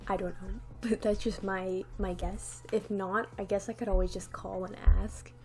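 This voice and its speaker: A woman's voice: medium pitch, flat delivery, moderate pace. Young woman, slight retainer lisp, casual rambling chat, filler-heavy, faint music underneath. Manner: rambling, many "like"s and restarts, light laughs. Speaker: vlogger.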